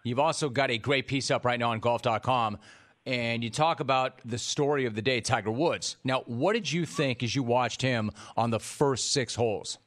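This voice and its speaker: A man hosting a live sports radio show, introducing a guest and asking questions in a smooth, measured broadcaster delivery. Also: mid-range voice, fairly bright tone. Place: radio studio.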